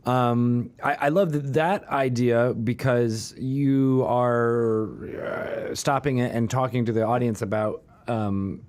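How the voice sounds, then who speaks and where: A man's voice: mid-range voice, fairly even intonation, takes it slowly, hardly any pauses, a little mellow. A man hosting an interview show, chatting casually and thinking aloud with frequent asides. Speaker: talk show host.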